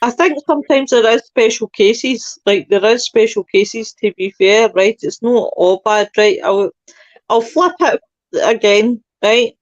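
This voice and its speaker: A woman's voice: a little high, unhurried, no room sound. A Scottish woman co-hosting a casual panel chat, emphatic, with apologetic asides. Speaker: panel co-host. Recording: dry, dull lo-fi sound.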